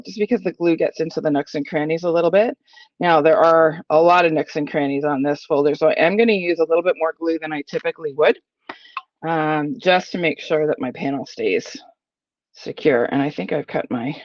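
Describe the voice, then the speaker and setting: A woman with a slightly croaky voice from a cold, chatting through a live card-making tutorial at her craft desk and answering viewer questions. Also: fairly low pitch, dry recording. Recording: dull lo-fi dry sound.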